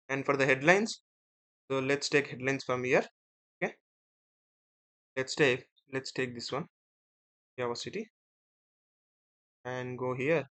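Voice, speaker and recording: A man teaching as an instructor in a screen-share software tutorial, explaining step by step and often checking in with short tag words. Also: mid-range voice. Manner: step-by-step, often ending phrases with "right?" and "okay".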